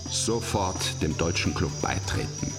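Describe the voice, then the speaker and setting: A deep, unhurried colonial governor. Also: male voice, loud music behind the voice. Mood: hearty, wry. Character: blustery, welcoming colonial governor, worldly and wry. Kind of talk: radio drama acting of a letter.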